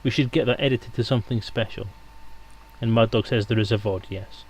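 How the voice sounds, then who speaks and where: Quick-talking, low-voiced male stream host. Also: poor audio. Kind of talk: casual chat.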